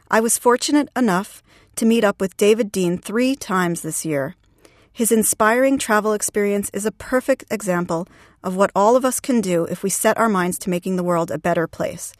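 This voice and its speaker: A woman's voice: mid-range voice, no room sound. Woman interviewer in a dry studio sound. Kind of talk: scripted interview for a listening exercise.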